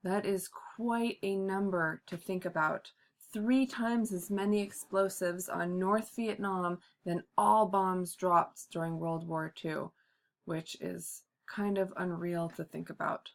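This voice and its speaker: A woman giving a class history presentation, halting often with ums and uhs. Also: fairly low pitch, steady tone, gravelly. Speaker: student presenter.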